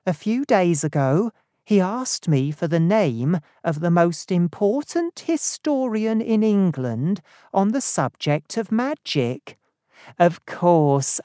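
Audiobook role: obliging lady librarian, acted out by the narrator, polite, high-set voice with lively swoops. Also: slow, no room sound, clean studio sound. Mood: helpful. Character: helpful, obliging female librarian.

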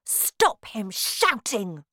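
A woman voicing a cruel, imperious head witch in a storybook, barking drawn-out, menacing orders at her underlings. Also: medium pitch, big pitch swings, heavy background noise. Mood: furious, commanding. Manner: commanding, drawn-out, menacing delivery.

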